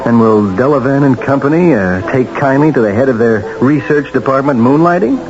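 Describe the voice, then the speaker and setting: An American actor playing a self-assured, calculating friend, coaxing and deliberate, in a radio drama. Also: male voice, medium pitch, lively intonation, natural voice. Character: smooth, calculating old friend, calmly tempting toward murder. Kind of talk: 1970s radio drama acting. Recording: muffled old radio broadcast, music underneath.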